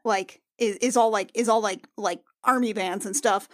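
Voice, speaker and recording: A woman's voice: medium pitch, fairly even intonation, normal speed. A woman playing a tabletop roleplaying game, chatting casually and improvising, with a lot of "like" thrown in. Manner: sweary, peppered with "like". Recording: music playing behind the voice. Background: background music.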